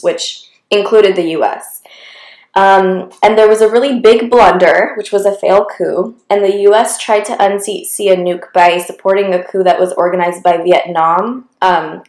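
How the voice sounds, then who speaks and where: A woman's voice: on the low side, a little mellow, reverberant. Chatty young woman, lots of "like". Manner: chatty, lots of "like", wry asides. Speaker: co-host. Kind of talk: casual history podcast chat.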